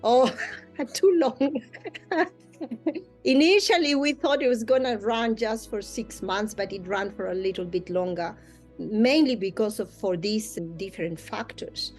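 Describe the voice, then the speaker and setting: A woman's voice: fairly high pitch, measured pace, darkish tone, faint music behind. A woman whose first language is Spanish, a mediator answering interview questions earnestly, with slightly non-native phrasing and frequent light laughter. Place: Australia.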